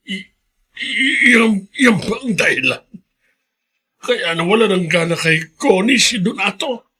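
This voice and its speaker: An actor playing an agitated, disbelieving old father with a strained raspy voice and Filipino accent in a radio drama. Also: high-pitched, lively intonation, a little slow, pushed and bright, exaggerated voice. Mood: shaken, grieving.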